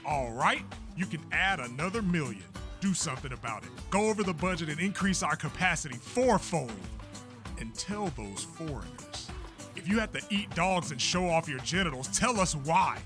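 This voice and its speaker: A man's voice: on the high side, animated, normal speed, over music, lightly acted. A man playing a middle-aged race promoter in a manga dub, theatrical and emphatic, blustering and bossy over secret anxiety. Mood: irritated, domineering. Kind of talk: dramatic manga dub acting.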